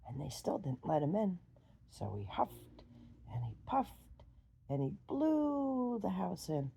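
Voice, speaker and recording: A woman storyteller improvising a bedtime fairy tale in simple, halting, sing-song phrases. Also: lowish voice, vocal fry, dark tone, husky.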